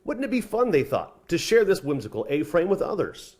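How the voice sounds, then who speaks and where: Expressive, higher-voiced American newsman. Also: brisk. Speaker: TV news reporter. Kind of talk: scripted feature narration.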